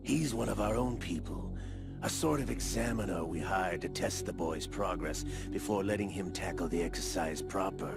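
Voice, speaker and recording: An actor playing a smug, cruel older gunslinger villain in a video game, gravelly, theatrical and condescending, with drawn-out emphasis. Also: male voice, low-pitched. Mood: smug, expository. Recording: loud dramatic score behind. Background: cinematic game music.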